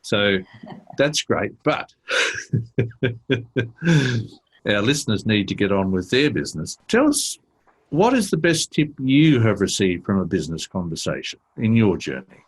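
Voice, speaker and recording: Guy hosting a business podcast, husky low voice, friendly. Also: big pitch swings, a little slow, long pauses. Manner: friendly, affirming. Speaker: podcast host. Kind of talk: podcast interview.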